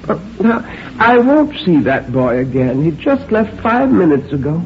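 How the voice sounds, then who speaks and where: A man's voice: high voice, sing-song, measured pace, pitched above the performer's own voice, exaggerated voice. Man voicing a querulous old woman, muffled recording. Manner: querulous, rambling. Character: stubborn, cantankerous, dying old woman. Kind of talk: dramatic short story reading. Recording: muffled, noisy audio.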